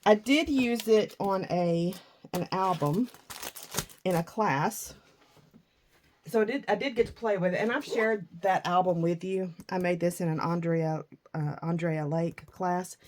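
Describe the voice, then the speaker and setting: A middle-aged woman with a husky morning voice, chatting casually and self-deprecatingly about her crafting supplies. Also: lowish voice, a little slow, a little mellow, slight background noise. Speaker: scrapbooking vlogger.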